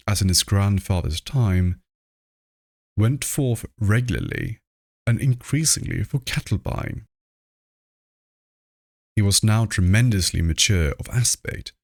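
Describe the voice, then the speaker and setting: Male audiobook reader, lowish pitch, unhurried, measured horror narration, dry sound. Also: a little mellow, no echo. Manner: measured, deliberate reading. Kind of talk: scripted narration of horror literature.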